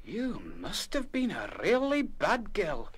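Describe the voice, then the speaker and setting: An actor playing a kindly Scottish music master in a radio play, with a gentle, coaxing and encouraging manner. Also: male voice, high voice, huge pitch swings, slow pace, a little hiss or hum, full character voice. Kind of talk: full-cast radio play acting.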